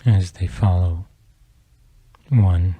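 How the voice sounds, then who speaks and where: A man guiding a meditation with slow, measured phrases, long pauses and a soft, low, slightly husky voice. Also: no room sound. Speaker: meditation guide. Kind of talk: slow guided meditation narration.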